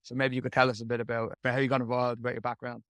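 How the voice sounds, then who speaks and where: Young podcast host, flat delivery, chatty and rambling, dry sound. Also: male voice, mid-range voice, rapid-fire, no room sound. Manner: friendly, rambling, many restarts. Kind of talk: interview hosting.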